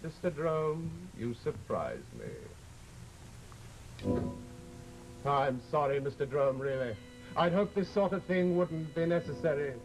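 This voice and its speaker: An actor playing the Devil as a proud, courtly gentleman, mannered and over-polite, with deliberate pauses, in old-time radio horror style. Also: fairly high pitch, animated, poor audio. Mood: mock courteous. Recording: vintage radio drama, music behind.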